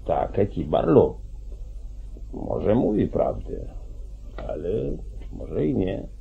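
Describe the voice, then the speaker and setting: Husky, low, weary sheriff. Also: male voice, huge pitch swings, slow pace, full character voice. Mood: weary, deferential. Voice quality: husky, gravelly. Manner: hesitant, deferential, weary. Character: beleaguered, reluctant male small-town sheriff. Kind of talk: mid-century Polish radio play acting. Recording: dull 1940s radio recording.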